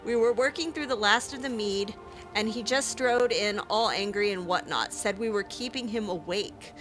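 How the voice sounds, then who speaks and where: Cranky swearing bandit guy, a woman reading his journal, bright voice. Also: mid-range voice, normal speed, faint music behind, natural voice. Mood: grumbling. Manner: gruff complaining, swears "bleeding". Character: grumbling male bandit writing a journal.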